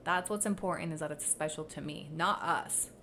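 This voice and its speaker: A woman whose first language is Spanish hosts a casual livestream commentary. Her voice sits low, and she is blunt and sarcastic, swearing freely as she reacts. A faint hiss of noise runs under her voice. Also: normal speed, sharp tone. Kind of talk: casual commentary reading posts aloud.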